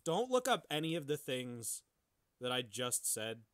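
A man's voice: medium pitch, very animated, a little slow. A young adult man co-hosting a podcast in casual, crude, profane banter. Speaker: podcast co-host.

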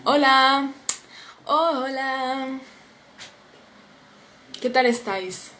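A woman's voice: sits high, drawn-out words, sharp tone, a little hiss or hum. Sleepy, chatty woman on a live stream. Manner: friendly, chatty, reads comments aloud and reacts. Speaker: Spanish teacher hosting a live stream. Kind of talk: casual live-stream chat reading viewer comments. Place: small indoor room at a table. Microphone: phone camera at arm's length.

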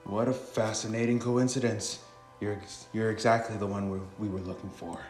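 A man's voice: lowish voice, steady tone, normal speed, a little mellow, roomy sound, faint music behind. A man reading the dialogue of a story game aloud as a player.

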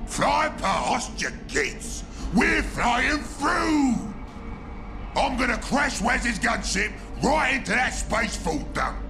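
A man's voice: very high-pitched, sing-song, takes it slowly, vocal fry, exaggerated voice. A man voicing a brutish, bossy ork warboss in a video game, barking orders in crude slang. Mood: fired-up, bellowing. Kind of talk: video game villain acting. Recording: voice under loud game music.

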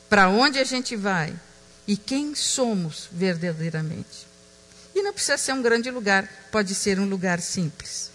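A woman giving a reflective talk on stage, slow and emphatic, dropping to a hush on key words. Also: mid-range voice, long reverb tail, low-quality recording. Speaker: speaker.

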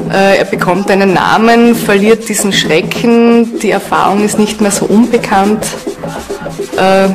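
Woman explaining as expert over music. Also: mid-range voice. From Austria. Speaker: ethnologist, interviewed expert. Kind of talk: spontaneous explanatory interview talk. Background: background music.

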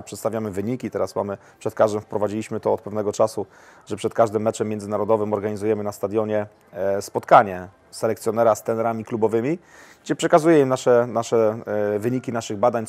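A man in his forties talks quickly in a seated interview in a lounge. He is a football coach and often stumbles, repeats words and restarts mid-sentence. His voice sits at an average pitch for a man. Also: a little mellow. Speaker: national women's team coach. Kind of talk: relaxed interview answers. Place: lounge with armchairs, indoors.

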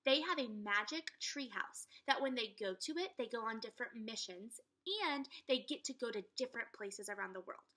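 Bright young woman teacher reading aloud. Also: fairly high pitch, normal speed, few pauses. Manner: animated storytelling, explaining words as she reads. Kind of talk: read-aloud lesson for children. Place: living room at home. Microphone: laptop webcam at close range.

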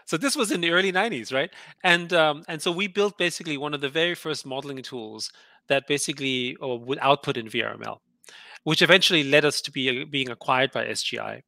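A man's voice: fairly high pitch, quick pace, creaky, sharp tone, no room sound. A middle-aged man who grew up in Austria, with German as his first language, talking casually over a headset on a video call and rambling with frequent restarts. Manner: rambling, many restarts and "you know". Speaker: guest entrepreneur.

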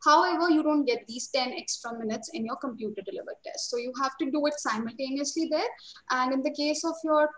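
A young woman with an Indian English accent teaches a webinar in a high, clear voice. She explains steadily and reassuringly. Her voice comes through a video call with a roomy echo. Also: fairly quick. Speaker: IELTS trainer.

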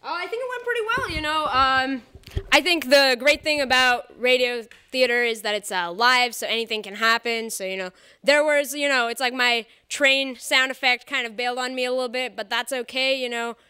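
Casting: young female, bright crisp voice, casual interview chat. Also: high voice, normal speed. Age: young adult. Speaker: student performer being interviewed. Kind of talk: casual post-show chat. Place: small studio, indoors. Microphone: handheld microphone.

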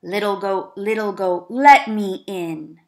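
A woman voicing a greedy, menacing male storybook wolf, growling out loud, drawn-out threats. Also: medium pitch. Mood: coaxing, menacing.

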